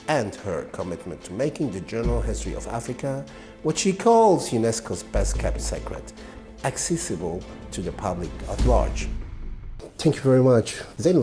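A middle-aged man interviewing a guest, asking measured, formal questions in a small editing suite. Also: medium pitch, very animated, dark tone, soft background music.

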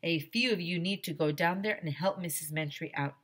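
A woman's voice: fairly low pitch, brisk, fairly bright tone. Woman as practical school man, storybook character, earnest, matter-of-fact, explanatory.

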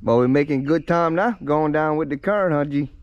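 A man's voice: on the high side, fairly quick, lo-fi sound, a little hiss or hum. A man, talking casually to his son and his viewers in an easygoing vlog filmed outdoors on a handheld camera. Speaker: vlogger. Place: outdoors on a bayou.

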